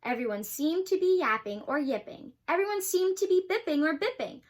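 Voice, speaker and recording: A woman's voice: very high-pitched, expressive, a little fast. A young woman reading a children's picture book aloud, giving the characters their own voices. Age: young adult. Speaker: student reader.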